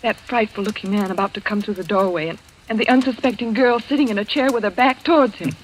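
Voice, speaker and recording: An actress playing a nervous, haunted young woman in a vintage radio drama, speaking in a breathless, halting, melodramatic way. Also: mid-range voice, natural voice. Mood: uneasy dread. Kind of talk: old-time radio drama acting. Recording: noisy vintage radio recording.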